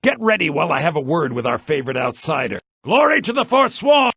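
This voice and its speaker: An actor playing a bitter, vengeful rebel leader from a prison mine in a fantasy video game, raspy and menacing, declaiming his lines. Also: a little high, big pitch swings, brisk, dry recording, studio quality, full character voice. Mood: triumphant. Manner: menacing, declamatory, swinging to shouts.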